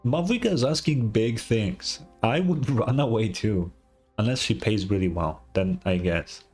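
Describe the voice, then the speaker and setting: A man's voice: fairly low pitch, measured pace, darkish tone. A man giving casual, offhand reaction commentary as he streams a game. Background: game music.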